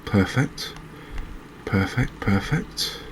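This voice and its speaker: A man giving soft-spoken ASMR commentary over a game, relaxed and musing, with unhurried pauses. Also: on the low side, background noise. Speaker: gaming video host.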